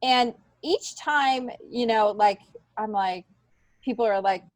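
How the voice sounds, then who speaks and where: A woman's voice: a little high, slow, no room sound. A middle-aged woman speaking candidly and reflectively in an informal interview, repeating points for emphasis. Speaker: podcast guest, stand-up comedian.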